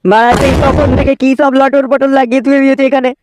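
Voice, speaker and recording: A woman's voice: high-pitched, level tone, fast, natural voice. A woman voicing a grumpy, crude, complaining father in a comedy cartoon, on a noisy track with clicks. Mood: irritated.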